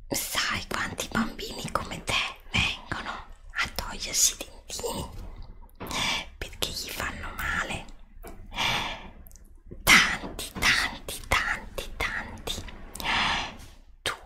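A woman whispering slowly and soothingly close to the mic in an ASMR roleplay, repeating gentle reassurances as a dentist. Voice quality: breathy whisper. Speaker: ASMR creator role-playing a dentist. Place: indoors at a desk before a green screen. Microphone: Blue Yeti desktop microphone, close up.

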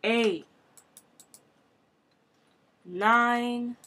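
A woman giving casual commentary on her own gameplay, thinking aloud with quick exclamations, at a desk mic at her computer. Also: on the high side, fairly even intonation, sharp tone. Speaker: gamer recording her play.

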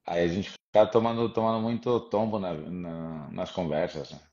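A middle-aged man, a former footballer, talking in a relaxed, easy way on a video call and dropping many little filler tags. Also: deep voice, normal speed. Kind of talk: casual video-call chat. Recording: dull lo-fi video-call audio.

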